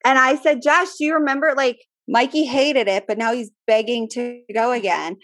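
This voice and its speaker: Midwestern woman in her thirties, podcast co-host, emphatic over-enunciated delivery, higher voice. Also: moderate pace, a bit bright, dry recording. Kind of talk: casual chat.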